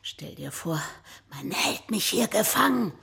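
An actress playing a spirited, chatty grandmother in a children's radio play, expressive and playful. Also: mid-range voice, measured pace, vocal fry, slightly rough, heavily acted. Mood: surprised, chatty. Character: spirited grandmother, chatty hairdresser held captive.

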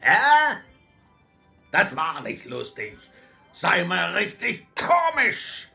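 A woman's voice: fairly low pitch, big pitch swings, very slow, creaky, sharp tone, faint music behind, exaggerated voice. A woman playing a mocking, bossy male harlequin marionette in a children's radio play, theatrical and moody, moving between singsong and menace. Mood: excited, scornful.

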